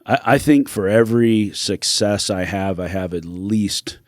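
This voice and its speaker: A man's voice: lowish voice, a little slow, no room sound, clean studio sound. A middle-aged man, a podcast guest, telling his life story in an earnest, reflective way. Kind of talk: candid personal storytelling.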